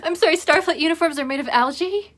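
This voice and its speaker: A woman making wry, incredulous comments while watching an animated series. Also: extremely high pitch, fast. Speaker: reaction video host. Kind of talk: casual reaction commentary.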